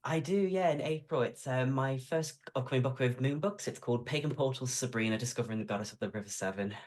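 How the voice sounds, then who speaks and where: A man, talking at a brisk clip in a casual podcast interview. He is chatty, laughs often and explains folklore at length. His voice sits at an ordinary middle pitch. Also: a little mellow. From Welsh Marches, Shropshire and Wales. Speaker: guest author.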